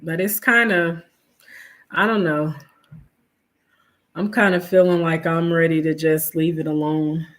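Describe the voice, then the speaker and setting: A woman's voice: deep voice, fairly even intonation, unhurried, long pauses. Stuffy-nosed chatty woman streamer. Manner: chatty, reads viewer names, trailing fillers. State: nasal from allergies, sniffling. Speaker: livestream host. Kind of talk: casual chat with viewers.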